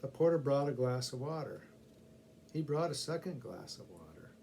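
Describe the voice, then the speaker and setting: A man's voice: medium pitch, animated, normal speed, gravelly, warm tone. A middle-aged man reading short humorous stories aloud, with casual asides to the listeners. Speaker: story reader. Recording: faint background noise.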